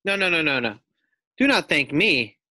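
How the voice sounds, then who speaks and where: Storybook guinea pig hero, played by a man: excitable, full of bravado, emphatic, swooping pitch, drawn-out delivery. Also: dry recording, full character voice. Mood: proud, heroic. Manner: emphatic, dramatic exclamations, drawn-out words. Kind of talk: children's storybook read-aloud character.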